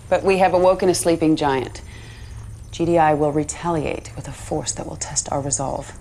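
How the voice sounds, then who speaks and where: A loyal, stern military general from a video game. Her voice is deep for a woman, with measured, formal and resolute delivery. There is faint noise in the recording. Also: a little mellow. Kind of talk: video game cutscene acting.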